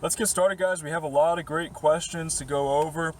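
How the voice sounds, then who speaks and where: A young man from the Boston area, slightly hoarse and losing his voice, chatting casually and rambling through viewer questions with fillers and short laughs inside his camper van. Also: on the high side, steady tone, brisk, a little hiss or hum. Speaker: vlogger answering viewer questions. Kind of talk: casual chat to camera.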